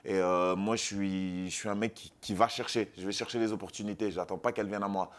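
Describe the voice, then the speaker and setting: Deep-voiced confident man from Congo. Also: fairly quick. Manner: confident, animated, self-assured storytelling with frequent repeats. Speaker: MMA fighter and actor, guest. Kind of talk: casual interview storytelling.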